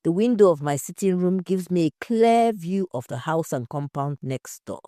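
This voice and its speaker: A woman voicing a solemn male neighbour who gives deliberate eyewitness testimony in an audio story. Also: fairly low pitch, lively intonation, mellow, no echo, clean studio sound, natural voice. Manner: deliberate, announcing.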